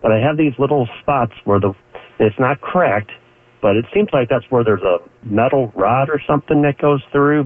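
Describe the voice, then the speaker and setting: A male caller phoning in to a radio show. He explains his question plainly and sounds a little unsure. The line is thin and phone-like. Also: medium pitch.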